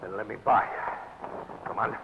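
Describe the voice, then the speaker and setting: An actor playing a menacing gangster who barks curt orders, in 1940s radio acting, on a lo-fi old radio broadcast with hiss. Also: male voice, lowish voice, moderate pace, natural voice. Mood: bullying. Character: menacing gangster man, gruff and threatening.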